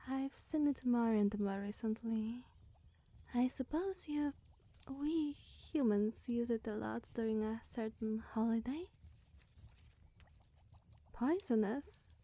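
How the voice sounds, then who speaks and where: Soft, breathy, slow-talking young witch acted by a woman. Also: fairly high pitch, no room sound. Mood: friendly, chatty. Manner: coy, flustered, rambling with musing hums. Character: sweet, bashful, scatterbrained young female witch. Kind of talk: ASMR roleplay, soft and partly whispered.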